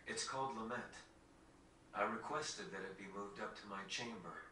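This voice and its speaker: A man playing a solemn young prince in a video game cutscene, speaking in a formal, measured, earnest way. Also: on the low side, pushed and bright. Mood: solemn, reflective. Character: solemn, gentle young prince burdened by royal legacy. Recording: distant, echoey, faint background noise.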